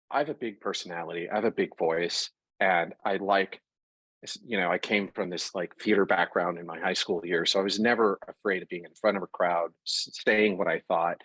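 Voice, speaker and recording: A man being interviewed about his work in fashion, talking in a lively, conversational way and working through examples with frequent restarts. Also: low-pitched, moderate pace, no room sound, poor audio. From Puerto Rico. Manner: animated, talks through examples with self-corrections. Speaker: interview guest, fashion product executive.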